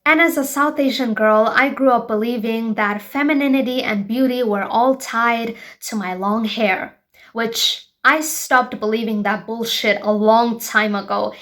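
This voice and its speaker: A woman's voice: a little high, fairly bright tone. A woman in her twenties from Bangladesh hosting her own show, talking frankly and emphatically to her viewers. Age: 20s. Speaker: show host. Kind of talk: personal vlog monologue.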